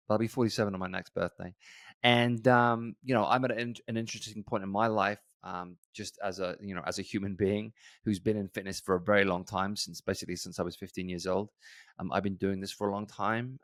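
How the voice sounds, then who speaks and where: A man in his forties hosting his own fitness podcast alone, talking casually to his listeners with many pauses and restarts. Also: low-pitched, fairly bright tone, no room sound, clean studio sound. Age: 40s. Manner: rambling, many ums and restarts, conversational. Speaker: gym owner and podcast host. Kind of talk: casual solo podcast talk.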